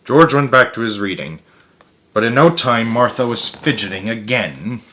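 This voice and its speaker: A man's voice: mid-range voice, normal speed, slight background noise. A man reading a story aloud expressively, dropping in muttered asides of his own. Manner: expressive, with emphatic character lines and muttered asides.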